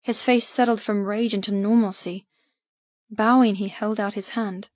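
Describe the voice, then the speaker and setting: A woman's voice: medium pitch, fairly even intonation, moderate pace, dry recording, lo-fi sound. A woman narrating an audiobook novel in the first person, with an intense, emotive, dramatic delivery.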